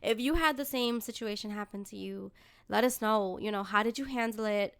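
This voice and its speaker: A woman's voice: mid-range voice, normal speed, fairly bright tone, clear, resonant tone. A woman hosting a podcast in casual chat, informal and giggly, swearing freely. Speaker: podcast host.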